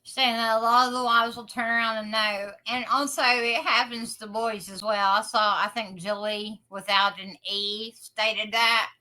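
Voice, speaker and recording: A woman's voice: on the high side, fairly even intonation, a little slow, few pauses, sharp tone, rough recording. A woman with an American accent, chatting casually as a podcast co-host. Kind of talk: casual chat.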